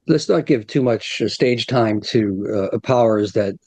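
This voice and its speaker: A man speaking as a guest analyst, measured and plain-spoken with a wry edge. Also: on the low side, a little mellow, no echo. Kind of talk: conversational analysis.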